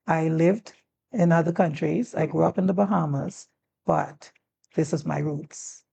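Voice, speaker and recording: A woman in her sixties from the Turks and Caicos Islands, whose accent shows plainly as she tells stories. Her voice is low, heavily gravelly and husky, with a dark, mellow tone. She brings the people in her stories to life by acting out what they said. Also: measured pace. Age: 60s. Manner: animated storyteller who acts out quoted dialogue. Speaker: guest, former teacher and district commissioner. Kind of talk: relaxed storytelling in a talk-show conversation.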